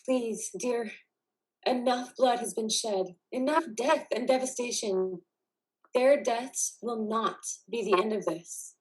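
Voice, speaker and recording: A woman playing a gentle, pleading wife of a soldier in a dramatic play reading. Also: on the high side, takes it slowly. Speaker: amateur actor. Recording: voice distant from microphone.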